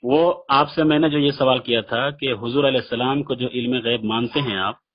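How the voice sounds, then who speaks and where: A man's voice: mid-range voice, steady tone, fairly quick. A man debating religion on a phone call, arguing insistently and repeating his points in formal religious vocabulary. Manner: insistent, argumentative, repeats himself. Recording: dull lo-fi phone call recording.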